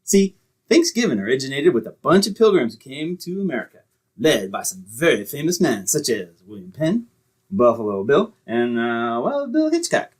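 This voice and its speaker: A man's voice: medium pitch, very animated, normal speed, husky. A man playing a breezy, cheap, know-it-all boyfriend, glib and self-important, in old-time radio comedy style. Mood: pompous.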